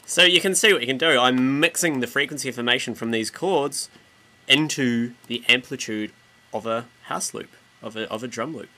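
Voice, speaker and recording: A man giving a casual, informal software tutorial as a music production tutor. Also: medium pitch, normal speed, background noise. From New Zealand. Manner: informal, mildly sweary. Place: indoors, voice-over on screen recording.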